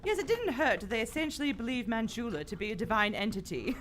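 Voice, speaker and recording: A woman's voice: a little high, expressive, fast talker, a bit bright, slight background noise. A woman playing a bold, commanding lady adventurer in live radio theater, recorded on a theater stage. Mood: reflective.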